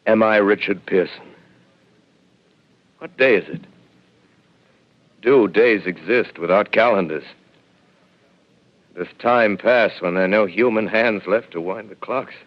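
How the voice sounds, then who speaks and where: Lone survivor astronomer, hushed, brooding, lowish voice, 1930s radio drama, dull lo-fi broadcast. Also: male voice, takes it slowly, pauses often.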